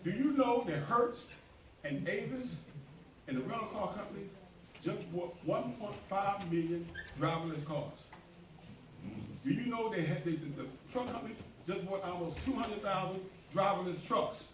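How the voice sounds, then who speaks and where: A high-voiced man leads a community meeting in a roomy hall, urging the crowd with emphatic, rising repetition. He sounds a little off the mic, with a faint hum of the room behind him. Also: expressive, moderate pace, distant mic. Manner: emphatic, preacher-like, rising to near shouts. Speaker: association president hosting a meeting. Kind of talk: animated speech to a community meeting.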